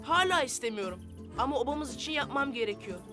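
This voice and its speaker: A high-pitched young boy, earnest and expressive, the hero of a children's cartoon set in a nomad camp. He is eager to help, and music plays clearly behind the voice. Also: quick pace. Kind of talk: animated children's series voice acting.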